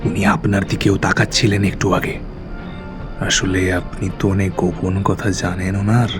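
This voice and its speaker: A man's voice: sits low, level tone, unhurried. A man playing a cold, scheming avenger in an audio thriller, controlled and insinuating. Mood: quietly manipulative. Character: cold, scheming male avenger manipulating his victims. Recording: music behind the voice.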